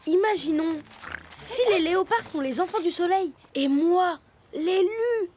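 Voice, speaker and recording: A woman's voice: very high voice, animated, measured pace, a little mellow, slight background noise. A woman voicing a brave, stubborn young male leopard cub in a children's cartoon dub, talking in an earnest, determined way. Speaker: dubbing voice actor. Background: music.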